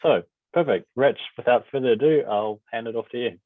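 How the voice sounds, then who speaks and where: A man hosting an online meeting, introducing the guest speaker in a friendly, relaxed way. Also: mid-range voice, a little fast, no echo. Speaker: meeting host. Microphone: video call. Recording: dry tinny phone-like line.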